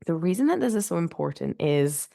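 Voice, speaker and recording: Woman, low voice, stuffy nasal sound, rambling casual chat, dry close recording. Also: big pitch swings, a little fast, gravelly, warm tone, dry recording. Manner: chatty and rambling, with frequent "like" fillers and laughs. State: congested, nasal from blocked sinuses. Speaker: podcast host. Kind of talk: relaxed solo podcast chat. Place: spare room at home.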